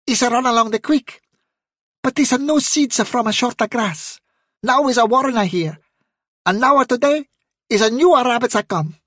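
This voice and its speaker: A tiny, anxious field mouse chatters in broken English, his voice pitched far above. He twitters eagerly, keen to please, with a slightly husky edge. The storybook recording is close and dry. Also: normal speed, fairly bright tone, no room sound. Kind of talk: audiobook character voice.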